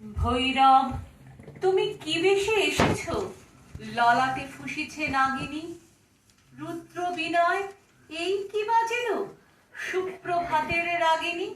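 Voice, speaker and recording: A woman's voice: extremely high pitch, slow, pauses often, a bit bright. A woman giving a dramatic recitation of poetry. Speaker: recitation performer. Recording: echoey room, distant microphone, background noise.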